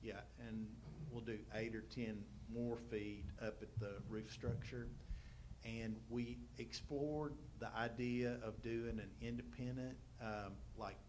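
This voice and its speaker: A man's voice: medium pitch, fairly even intonation, slow, a little mellow. A man explaining repair plans for an old building at a public meeting, speaking plainly with many ums and uhs. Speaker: architect presenting building repairs. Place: city council meeting room. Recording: noisy live-stream audio.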